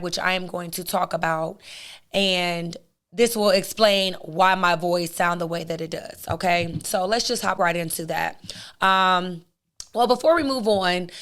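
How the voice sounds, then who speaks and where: A woman with a hoarse, raspy voice, hosting her own podcast in a casual, outspoken monologue that talks straight to her listeners. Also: mid-range voice, fairly bright tone. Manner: chatty, outspoken, addresses listeners directly. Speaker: podcast host.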